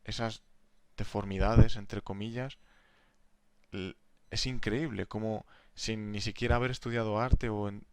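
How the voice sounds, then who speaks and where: A young man co-hosting a casual podcast, enthusiastic and quick to laugh. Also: fairly low pitch, steady tone, a little mellow, clear, resonant tone, dry recording. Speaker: podcast co-host, language teacher. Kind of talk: casual conversational chat.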